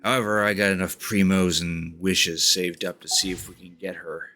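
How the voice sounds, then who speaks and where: A man giving casual livestream game commentary, informal and a bit halting as he talks. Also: low-pitched, fairly even intonation, bright, forceful tone. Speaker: game streamer. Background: game music.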